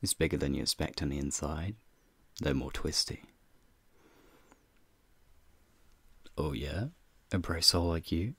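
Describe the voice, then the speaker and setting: Very deep, gravelly flirty werewolf played by a man. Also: a bit bright, no room sound, heavily acted. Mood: teasing, knowing. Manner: teasing, flirtatious, folksy slang, chuckling. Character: flirty, teasing male werewolf, playful urban explorer. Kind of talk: ASMR roleplay, speaking to the listener.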